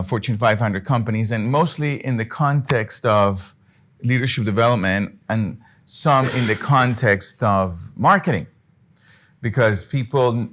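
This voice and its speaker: A man's voice: mid-range voice, a bit bright. A middle-aged man from Colombia giving an earnest business talk to an audience, often restarting his phrases. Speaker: business speaker. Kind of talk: presentation to an audience.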